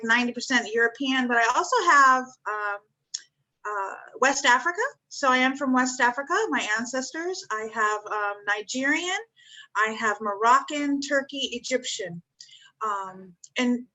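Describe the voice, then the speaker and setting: A woman in her fifties with a bright, clear voice, sitting a touch higher than most. She talks earnestly and keeps repeating words of gratitude in an easygoing spiritual chat. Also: poor audio. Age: 50s.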